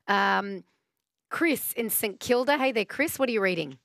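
A woman's voice: medium pitch, lively intonation, moderate pace, vocal fry, a bit bright, dry recording, clean studio sound. Chatty woman radio host. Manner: chatty, upbeat, reads out listener texts. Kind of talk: casual radio chat. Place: radio studio. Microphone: studio microphone.

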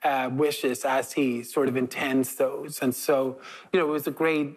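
Irish man around fifty, flat even delivery, panel guest. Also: medium pitch, a little slow, breathy edge, rough recording. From Ireland. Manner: fluent, steady, frequent fillers. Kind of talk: panel conversation.

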